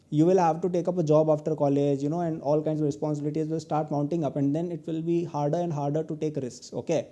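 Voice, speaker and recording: A man's voice: fairly high pitch, mellow. A man in his twenties with an Indian English accent, giving a recorded online lecture as a guest entrepreneur, who ends many of his points with "okay?". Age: 20s. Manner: earnest advice, tags many lines with "okay?".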